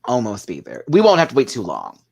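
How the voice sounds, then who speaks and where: A man's voice: medium pitch, huge pitch swings, fairly quick, vocal fry, a little mellow, slightly rough. Host, man in his thirties, casual and opinionated, easy playful banter. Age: 30s. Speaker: show host. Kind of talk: casual panel chat.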